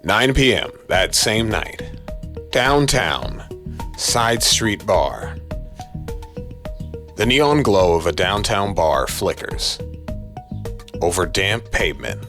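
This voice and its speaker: Deep voiced guy narrating a noir horror story, slow and dramatic, bit of music behind. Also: sing-song, fairly bright tone. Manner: deliberate, dramatic. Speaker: narrator and voice actor. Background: faint music.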